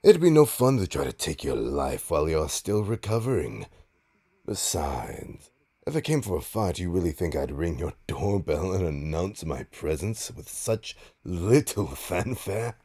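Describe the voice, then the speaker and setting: A man playing a suave, smug supervillain in an audio roleplay, teasing his nemesis with a pompous, mock-polite drawl and dramatic pauses. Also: sits low, big pitch swings, mellow, heavily acted. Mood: taunting, amused. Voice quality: gravelly, husky. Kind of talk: audio roleplay monologue addressed to listener.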